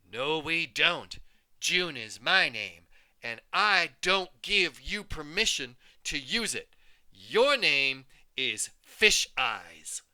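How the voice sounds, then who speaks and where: A man voicing a mean girl bully with a deep, growly voice who taunts and sneers. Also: very animated, takes it slowly, sharp tone, dry recording, exaggerated voice. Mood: taunting.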